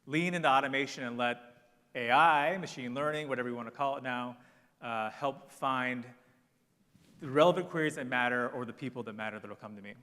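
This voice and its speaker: A man on a conference panel, brisk and confident, with a bright, forceful tone. His voice rings out in a long hall reverb. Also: medium pitch. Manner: brisk, confident, salesy advice. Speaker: panel speaker.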